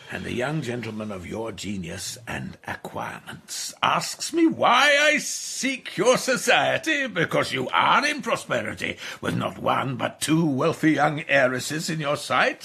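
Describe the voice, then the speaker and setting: Gravelly, roguish old English lord. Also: male voice, medium pitch, wildly swooping pitch, unhurried, bright, forceful tone, exaggerated voice. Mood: scornful, demanding. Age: older. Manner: jovially pompous, wheedling. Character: roguish, spendthrift aristocratic father, genial but grasping. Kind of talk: British radio drama acting.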